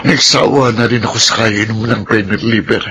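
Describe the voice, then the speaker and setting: A man's voice: lowish voice, fairly even intonation, moderate pace, bright, forceful tone, slight background noise. An actor playing a terminally ill man in a radio drama, pained and desperate.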